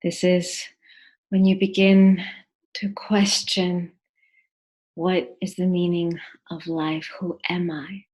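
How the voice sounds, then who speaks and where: A woman guides a meditation in a lowish, husky voice. Her delivery is soft and breathy, often sinking toward a whisper. She speaks gently and deliberately, leaving pauses between short phrases. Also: flat delivery.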